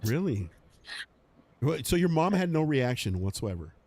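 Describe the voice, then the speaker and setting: Man, podcast host, very animated pitch, casual livestream chat. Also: moderate pace. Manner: animated, reads out chat messages.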